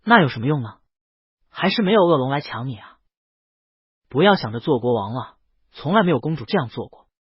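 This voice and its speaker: Man as a husky, patronizing palace courtier. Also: medium pitch, sing-song, brisk, pauses often, no room sound, highs cut off. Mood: dismissive. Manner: coaxing, condescending. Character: patronizing, fawning palace adults advising a princess.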